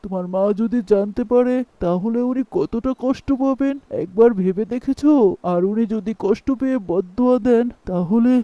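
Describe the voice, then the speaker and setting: High-pitched, muffled male storyteller. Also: moderate pace, no room sound. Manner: moralizing. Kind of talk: scripted cartoon story narration.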